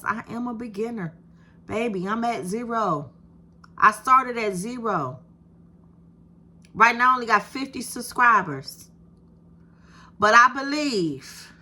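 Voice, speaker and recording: A woman in her fifties with a soft voice, talking straight to her viewers in a casual, encouraging way and calling them "baby". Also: mid-range voice. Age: 50s. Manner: animated, encouraging, talks to viewers with "baby" and "you hear me". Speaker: YouTube creator. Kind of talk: casual direct-to-camera talk.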